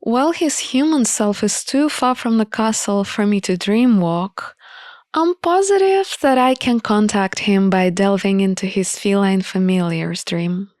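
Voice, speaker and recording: A woman narrates a visual novel in the first person. Her pace is slow and deliberate, at a medium pitch, and the sound is close and dry. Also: a little mellow, dry recording.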